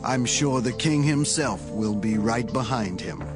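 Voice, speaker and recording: Guy acting measured prophet with authority, middle pitch, bible audio drama, music playing under. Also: natural voice. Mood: urgent. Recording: music behind the voice.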